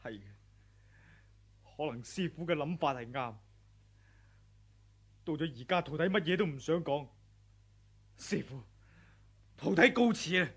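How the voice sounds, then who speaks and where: An earnest young disciple in a 1980s Hong Kong Cantonese radio play. His husky voice sits high and moves a lot as he pleads and reproaches. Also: expressive, unhurried, pauses often, heavily acted. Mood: pleading. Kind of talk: 1980s radio drama acting.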